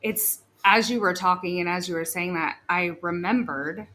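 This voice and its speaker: A woman hosting a casual podcast interview, chatty and excitable, laughing easily. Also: fairly low pitch, moderate pace. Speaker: podcast host.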